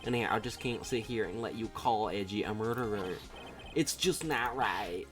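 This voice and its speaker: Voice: medium pitch, normal speed, music in the background, lightly acted. A streamer as a frantic young male witness. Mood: frantic, earnest.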